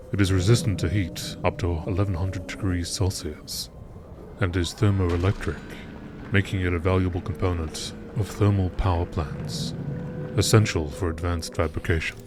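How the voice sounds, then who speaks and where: A man with a low voice narrates in a nature documentary style. His delivery is measured and informative, reading scripted entries over faint music.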